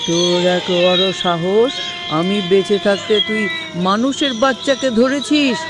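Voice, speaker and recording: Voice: medium pitch, fairly even intonation, unhurried, loud music behind the voice, lightly acted. A performer voicing a bossy old village witch woman in a cartoon story. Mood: furious. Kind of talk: cartoon voice acting.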